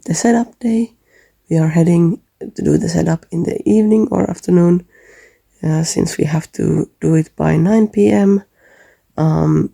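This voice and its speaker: Young man, slightly high voice, gravelly fry, hesitant casual vlog talk. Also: dark tone, dry recording. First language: Finnish. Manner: hesitant, many ums and uhs. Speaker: convention artist vlogger.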